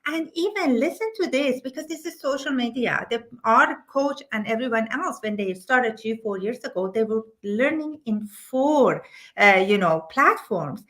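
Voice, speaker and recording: A middle-aged woman with a high, bright, forceful voice speaks with real enthusiasm in a live online interview. She talks eagerly, backtracking and restarting her phrases as she promotes a business. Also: lively intonation, moderate pace.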